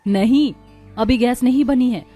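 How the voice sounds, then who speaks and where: A high-voiced eldest daughter-in-law from a village cartoon , played by a woman. She explains and gives instructions with patient assurance. Music runs under her scripted lines. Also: measured pace, dark tone, lightly acted. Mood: assured, instructive.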